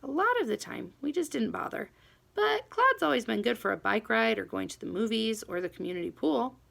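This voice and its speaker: A woman reading a children's novel aloud in an expressive, animated first-person storytelling manner. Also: fairly high pitch, fairly quick, creaky. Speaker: audiobook reader.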